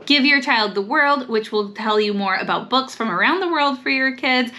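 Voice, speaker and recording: A woman's voice: a little high, expressive, normal speed, sharp tone. A woman giving upbeat book recommendations as a vlog host, speaking with enthusiasm and emphasis. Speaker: host, homeschool mom recommending books.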